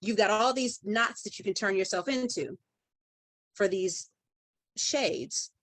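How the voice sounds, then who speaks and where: A woman guest talking on a podcast, emphatic and deliberate, stacking up her points through repeated rhythmic phrases. Her voice sits at a middling pitch and is recorded close and dry, with no room sound. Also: fairly bright tone, no room sound. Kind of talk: conversational podcast interview.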